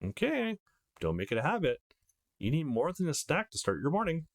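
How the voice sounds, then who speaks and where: A man's voice: on the high side, wildly swooping pitch, moderate pace, voice pushed up. A man voicing a sweet, welcoming mother in a visual novel, with a polite, cheerful manner. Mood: warm, motherly.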